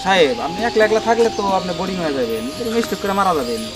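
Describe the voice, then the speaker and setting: A man acts as a cowardly, meddling servant in a comedy drama. His voice is high and quick, deferential yet chatty, with a Bangladeshi accent. Music runs behind the speech. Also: very animated. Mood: timid. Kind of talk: Bengali comedy drama acting.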